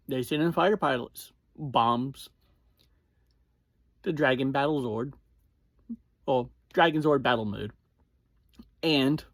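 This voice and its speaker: A reviewer giving a casual spoken recap, speaking informally to listeners in short, halting phrases and often self-correcting. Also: medium pitch, no room sound. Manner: informal, addresses listeners directly. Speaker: podcast reviewer.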